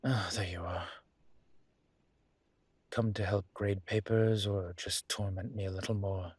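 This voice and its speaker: A man playing a flirtatious, wry immortal demon professor in an intimate, conversational audio roleplay, with a low husky voice and a teasing, playful manner. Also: fairly even intonation, unhurried, darkish tone, a little hiss or hum. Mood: warm, admiring. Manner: teasing, playful, breathy asides.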